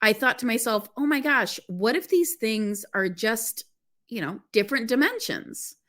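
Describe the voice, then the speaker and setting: A woman's voice: on the high side, expressive, normal speed, a bit bright. A woman who is a guest on a podcast, talking enthusiastically and laughing as she tells her story. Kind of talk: casual podcast interview.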